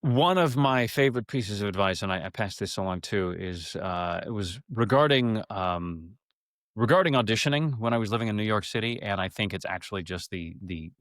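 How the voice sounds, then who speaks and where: Middle aged guy, deepish voice, candid interview chat, keeps cutting himself off, dry sound. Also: lively intonation, brisk, a bit bright, dry recording. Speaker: interview guest, stage and radio theater actor.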